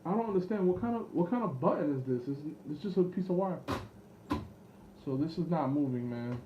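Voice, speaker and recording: A man's voice: fairly high pitch, lively intonation, brisk. A man thinking aloud through an unscripted product demo, hesitant, rambling and unsure of himself. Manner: hesitant, rambling, self-doubting. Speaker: online seller demonstrating vintage electronics. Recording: dull lo-fi camera audio with background noise.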